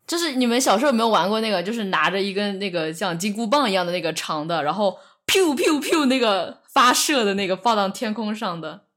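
A young adult woman chatting casually on a podcast in a halting, musing manner. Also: medium pitch, expressive, a bit bright. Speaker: podcast speaker.

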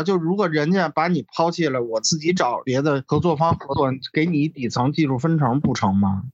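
A man's voice: a little high, no room sound, muffled recording. A man chats casually as a podcast guest, telling stories in a blunt, sweary, mocking way. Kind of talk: casual chat.

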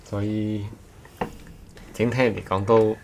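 A man's voice: fairly low pitch, level tone, takes it slowly. A man chatting casually as a guest on a podcast. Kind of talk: casual chat. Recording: clearly noisy background.

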